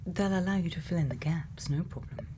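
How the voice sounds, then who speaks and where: A woman playing a quirky, gently probing scientist in a soft-spoken ASMR roleplay, murmuring unhurried questions and sometimes half whispering. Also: lowish voice, background noise, natural voice. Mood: calm, attentive. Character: quirky, gently probing female scientist programming a robot's memories.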